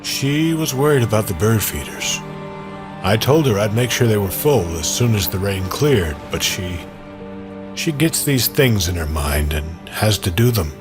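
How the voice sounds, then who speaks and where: A man's voice: fairly low pitch, lively intonation, a little slow, over music. A man playing a kindly, worried old man in an audio drama, polite and plain-spoken. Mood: worried, wistful. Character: kindly worried elderly man, stranger searching for his wife. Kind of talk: audio drama character acting.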